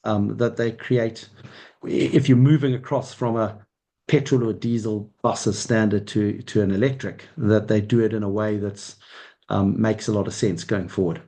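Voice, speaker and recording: A man's voice: fairly low pitch, steady tone, normal speed, mellow. This is a middle-aged man with a Zimbabwean accent. He speaks as an executive being interviewed, and he explains things at some length. His answers move step by step in a conversational way. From Zimbabwe, Southern Africa. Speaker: mining company chief executive being interviewed.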